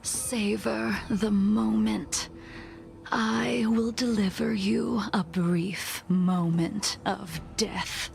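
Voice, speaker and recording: A woman voicing a solemn, world-weary immortal swordswoman master in a video game, with measured, breathy, near-whispered lines. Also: mid-range voice, fairly even intonation, full character voice. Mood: cold, detached. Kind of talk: dramatic video game voice acting. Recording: loud music behind the voice.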